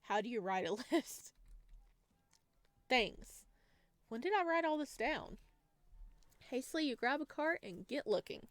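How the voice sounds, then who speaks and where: A woman reading a visual novel game aloud in a casual style, breaking into playful, expressive reactions. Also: sits high, unhurried, long pauses, no echo.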